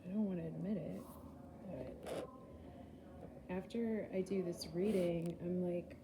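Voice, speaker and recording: A woman's voice: lowish voice, steady tone, slow pace, noisy background. A woman giving a casual, chatty tarot reading, rambling and laughing at herself, a little groggy after a poor night's sleep. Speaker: tarot reader.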